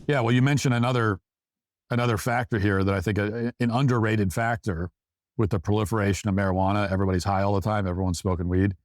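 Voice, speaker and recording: A man's voice: on the low side, fairly even intonation, fast talker, no room sound, studio quality. A man hosting a talk show, giving emphatic opinion commentary and repeating phrases for stress.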